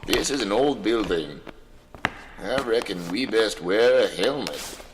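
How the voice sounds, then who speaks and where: A man's voice: fairly low pitch, animated, measured pace, long reverb tail, background noise. A man playing a wary, practical backwoods father in an audio comedy drama, with folksy rural phrasing.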